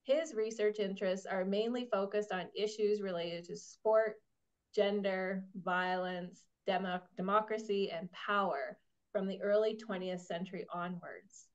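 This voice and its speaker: A woman's voice: mid-range voice, unhurried. A woman professor in her office, enthusiastically reading a guest's bio on a video call. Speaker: co-organizer, professor. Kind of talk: formal speaker introduction. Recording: muffled video call audio.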